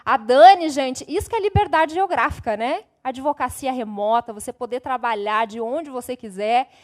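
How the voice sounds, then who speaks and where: A woman's voice: high-pitched, moderate pace. A woman hosting an online panel, speaking directly to the audience and to her guest in an upbeat way. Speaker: host.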